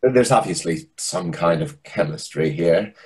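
Man playing a husky, swooping, theatrical restaurant waiter. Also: deep voice, animated, measured pace, heavily acted. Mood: reproachful, indignant. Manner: theatrical, indignant, arch. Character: dramatic, flustered, camp male restaurant waiter. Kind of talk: readers theatre play reading. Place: indoors, video call.

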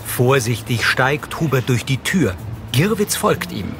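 Male narrator over clear music. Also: medium pitch, very animated, unhurried. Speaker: audio description narrator. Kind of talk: scripted narration.

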